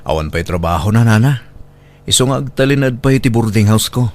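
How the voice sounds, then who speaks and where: A man's voice: fairly low pitch, animated, a little fast, a little mellow. An actor with an Ilocano accent playing a humble young working man and devoted boyfriend in a radio drama. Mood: apologetic.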